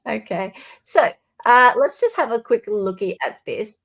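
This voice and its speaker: A woman's voice: on the high side, big pitch swings, a little slow, gravelly. A woman hosting a casual live legal commentary chat, talking informally and directly to her viewers. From Australia. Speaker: livestream host.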